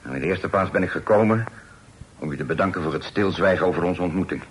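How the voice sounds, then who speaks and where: A sly, self-assured pirate, played by a man in an old radio play. He speaks in a low voice, calm and unhurried, and peppers his talk with sea phrases. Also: close to an everyday voice. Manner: calm, sly, full of sailor's sayings. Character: smooth-talking male pirate, bold and sly.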